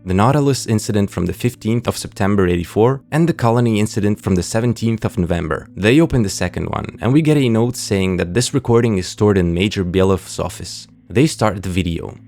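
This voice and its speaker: Low, creaky male video narrator. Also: animated, fairly quick, hardly any pauses, darkish tone. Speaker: video essay host. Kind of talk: scripted narration with dry casual asides.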